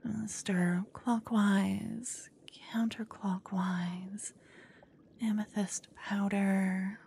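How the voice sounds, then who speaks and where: A woman voicing a jealous, doting young witch girlfriend in an intimate ASMR roleplay, breathy and often whispering, with dramatic delivery. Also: medium pitch, level tone, very slow, darkish tone, a little hiss or hum. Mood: calming, frustrated. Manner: dramatic, swinging from cooing to venting. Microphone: close ASMR microphone.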